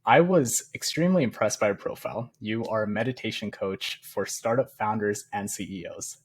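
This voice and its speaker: A male podcast host with a husky, slightly breathy voice. He sounds upbeat and welcoming as he introduces his guest and asks questions. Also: fairly low pitch, brisk. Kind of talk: podcast interview.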